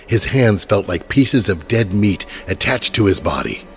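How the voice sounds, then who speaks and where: A man's voice: fairly low pitch, expressive, a little slow, faint music behind. A man narrating a horror audio drama in a dramatic, scripted storytelling style. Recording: clear horse and carriage ambience behind.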